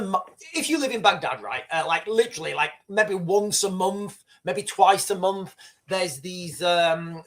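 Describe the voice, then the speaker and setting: A man's voice: high voice, creaky, a bit bright. Rambling man hosting a livestream. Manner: rambling, frequent restarts and swearing. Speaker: livestream host. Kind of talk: casual live commentary and storytelling.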